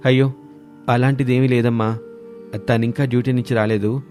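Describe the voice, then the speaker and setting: A man voicing a caring, agreeable young husband and son in an animated moral story. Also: mid-range voice, normal speed, faint music behind, close to an everyday voice. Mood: reassuring.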